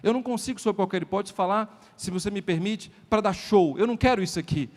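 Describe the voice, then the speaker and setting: A man's voice: sits high, fast. A man with a Brazilian accent giving a motivational lecture at a sales event, emphatic, repeating key lines and putting rhetorical questions to the audience. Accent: Brazilian Portuguese. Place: event hall before a live audience. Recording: reverberant hall sound.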